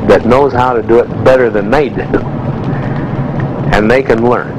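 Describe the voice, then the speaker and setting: A man's voice: mid-range voice, steady tone, moderate pace. Plain-spoken American man, noisy old film soundtrack. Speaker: experienced mountain pilot giving advice. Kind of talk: informal instructional talk in a training film.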